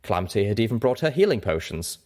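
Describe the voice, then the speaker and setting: Low-pitched man reading a story. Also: very animated, fast, a little mellow. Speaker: audiobook reader. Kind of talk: scripted first-person story narration.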